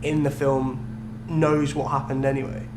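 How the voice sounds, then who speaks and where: A man's voice: mid-range voice, flat delivery, unhurried. A young British man co-hosting a podcast in a casual, chatty style. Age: young adult. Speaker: podcast co-host. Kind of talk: casual chat. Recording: echoey room with clear hum.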